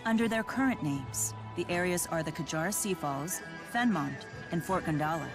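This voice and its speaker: A young spirit woman from a video game, cheerful yet formal. Her voice sits fairly low and her phrasing is measured and curious. Music plays clearly behind her. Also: expressive. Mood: composed, matter-of-fact. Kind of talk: video game cutscene voice acting. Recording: music under the voice.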